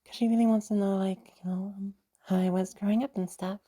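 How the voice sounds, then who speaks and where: A woman's voice: medium pitch, steady tone, fast, dark tone, lightly acted. A woman voicing a sweet, bubbly, nervous young woman chatting in a roleplay audio drama, hesitant, giggly and stammering. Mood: hopeful, hesitant. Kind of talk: roleplay audio drama, one side of a phone chat.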